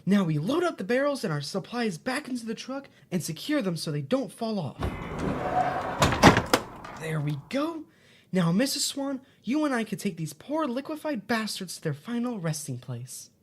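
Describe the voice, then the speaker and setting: Woman voicing upbeat children's show character, sing-song, high-pitched, swooping intonation, shady fixer, audio drama. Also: big pitch swings, moderate pace, a little mellow, breathy edge. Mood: brisk, cheery.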